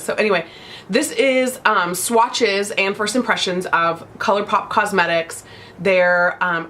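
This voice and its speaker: A woman's voice: lowish voice, fairly bright tone, a little hiss or hum. A young woman hosting a beauty vlog, chatting casually and gushing about products, still a bit congested from a cold. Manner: bubbly, gushing, chatty with many fillers. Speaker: beauty vlogger. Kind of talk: casual chat.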